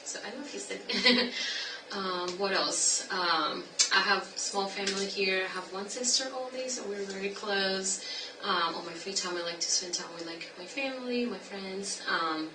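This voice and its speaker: A woman in her twenties with a Colombian accent, gently opening an interview in a friendly way with soft laughs and fillers. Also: mid-range voice, moderate pace. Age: 20s. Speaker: forensic interviewer. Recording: echoey room, distant mic, noisy.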